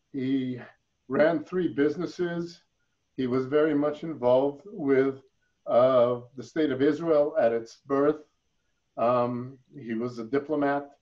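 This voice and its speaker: Older man, halting, muffled video call. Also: fairly high pitch. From Philippines. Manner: halting, repeats words while thinking. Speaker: interview guest. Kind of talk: reflective online interview. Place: home room.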